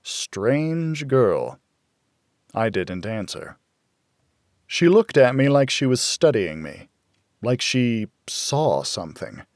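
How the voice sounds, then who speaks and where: A man's voice: mid-range voice, big pitch swings, takes it slowly, long pauses, a little mellow, no room sound, clean studio sound. An American man reading scripted first-person noir thriller narration as an audiobook narrator.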